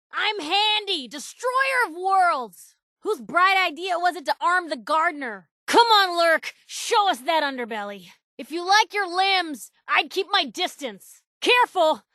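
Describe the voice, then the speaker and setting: A woman's voice: very high-pitched, bright, forceful tone, dry recording, studio quality, heavily acted. A woman voicing a feisty young female journalist, a wry, wisecracking companion in a video game. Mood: taunting, wry. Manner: wisecracking, sardonic, slangy. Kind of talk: video game voice acting, short combat and banter lines.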